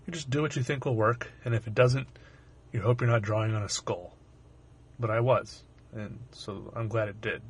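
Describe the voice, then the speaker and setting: A young man with a sore, hoarse throat, narrating his own art video in a rambling, wry, self-deprecating way. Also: on the low side, a little hiss or hum. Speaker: artist and channel host. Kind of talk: casual voice-over narration.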